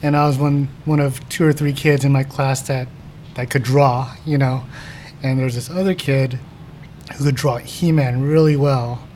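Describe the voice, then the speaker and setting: A man in his forties talks in a loose, friendly way as a podcast guest, drifting into laughs and filler words. His voice sits in an ordinary middle pitch. Faint background noise from a cafe is heard. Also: moderate pace. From San Diego, California. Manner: relaxed, laughs often, trails off with ums. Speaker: guest artist. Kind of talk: casual podcast chat. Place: comics shop cafe.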